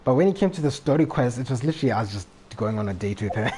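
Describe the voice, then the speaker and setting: A man's voice: medium pitch, animated, fast talker, darkish tone, a little hiss or hum. A man talking casually as a live game streamer, rambling and often repeating his phrases. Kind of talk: casual gaming livestream chat.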